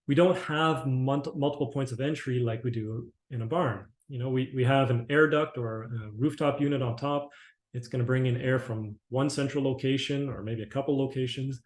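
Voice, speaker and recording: A man's voice: medium pitch, darkish tone. Man rambling through a webinar talk. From Canada. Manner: rambling, with frequent restarts and fillers. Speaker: company presenter. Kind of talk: webinar presentation. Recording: echoey room.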